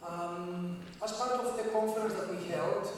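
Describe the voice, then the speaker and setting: A man's voice: high-pitched, fairly even intonation, unhurried, a little hiss or hum. A man with a Greek accent asking a panel a hesitant, filler-laden question from the audience. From Greece. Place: large conference hall. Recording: echoey hall from far off.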